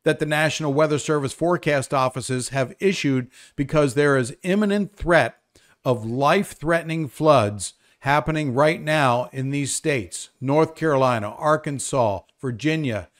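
An older man presenting an unscripted live weather update, explaining as he goes with hesitant pauses to think. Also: a little high.

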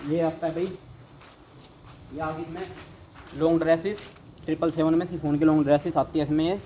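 A young man hosting a vlog, walking through a large warehouse and pitching clothing stock with eager salesman patter, filmed on a handheld phone. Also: darkish tone, slight background noise, rough recording. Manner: eager salesman patter, repeatedly urging viewers to look. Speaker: vlogger showing wholesale clothing stock. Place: large indoor warehouse full of clothing bales.

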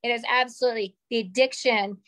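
A woman in her forties with a slightly raspy voice, sharing a candid personal story on a video call from a small room at home. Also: a little high, steady tone, quick pace, a bit bright, no room sound. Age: 40s. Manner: candid, chatty, gestures with her hands. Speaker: call participant. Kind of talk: casual personal testimonial. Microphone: webcam on a video call.

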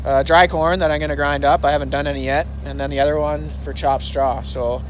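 A man's voice: a little high, level tone, brisk, dull audio. A man in his thirties, a dairy farmer, explaining things casually outdoors to a handheld phone. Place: outdoors in a farmyard. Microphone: phone at arm's length. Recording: faint outdoor vehicle noise.

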